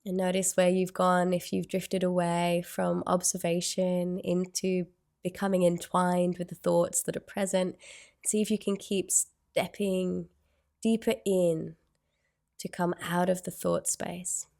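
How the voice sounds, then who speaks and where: Woman doing guided meditation, super slow n soothing, pretty flat pitch, long pauses. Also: gravelly. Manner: soothing, unhurried, gentle phrasing with long pauses.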